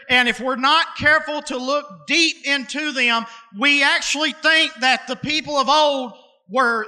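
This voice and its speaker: A man's voice: very high voice, bright, forceful tone. A man in his thirties preaching a sermon as pastor, folksy and conversational, stretching out key words for emphasis and slipping into casual dialect. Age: 30s. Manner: preaching cadence with drawn-out emphasis.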